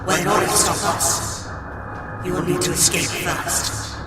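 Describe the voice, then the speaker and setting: Slow, echoing alien banshee. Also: male voice, high voice, steady tone, over loud music. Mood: scornful. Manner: slow, haughty, threatening. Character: menacing alien sand banshee, arrogant would-be conqueror. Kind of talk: fan audio drama acting. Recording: echoing voice over loud ambient noise.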